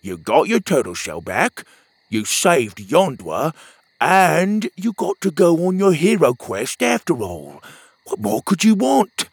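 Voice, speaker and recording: A cheerful young companion, put on by a male storyteller teases and reassures in a raised, animated voice. It keeps a gravelly, husky grain. The recording is dry and close. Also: on the high side, takes it slowly, no echo, pitched above the performer's own voice, full character voice. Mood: teasing. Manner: teasing, reassuring.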